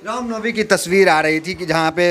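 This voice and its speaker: A man's voice: high-pitched, brisk, a bit bright. A man, working as an interviewer and putting questions in a political interview. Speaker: interviewer, reporter.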